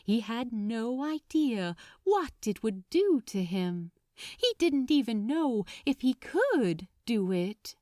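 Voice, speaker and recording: Female fiction narrator, higher register, dramatic and slow-paced, very dry recording. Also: sing-song, dark tone, no echo. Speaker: audiobook narrator.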